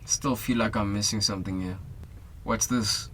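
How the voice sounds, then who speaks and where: A steady, supportive police detective with a South African accent played by a young man. His voice is on the low side. The lines are delivered as radio drama dialogue. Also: moderate pace, a little hiss or hum. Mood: probing. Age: young adult.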